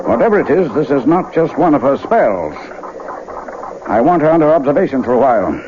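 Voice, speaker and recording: Actor as hesitant family doctor, lively pitch. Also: male voice, close to an everyday voice. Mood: uneasy, guilty. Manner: hesitant, measured, reassuring. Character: avuncular, evasive family doctor, male, gently guilt-ridden. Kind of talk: vintage radio drama acting. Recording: muffled, slightly noisy vintage radio recording.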